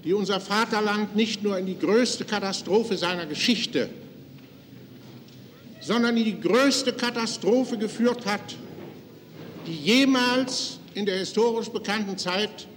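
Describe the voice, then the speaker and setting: A man's voice: sits high, a little slow, fairly bright tone. A man giving a grave, declamatory, formal political speech in the style of the 1940s. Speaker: state secretary, political orator. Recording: reverberant, noisy 1940s archive recording.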